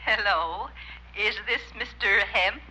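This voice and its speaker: A woman's voice: medium pitch, slow pace, bright, forceful tone. An actress playing a sweet, grateful elderly mother on the telephone in a 1950s radio comedy. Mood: tender, grateful. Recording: dull, noisy 1950s radio recording with audience.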